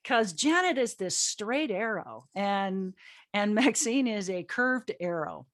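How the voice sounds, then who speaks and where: Casting: female panel host and actress, medium pitch, conversational storyteller, informal group chat. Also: animated, takes it slowly, fairly bright tone, dry recording, studio quality. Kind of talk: casual group discussion.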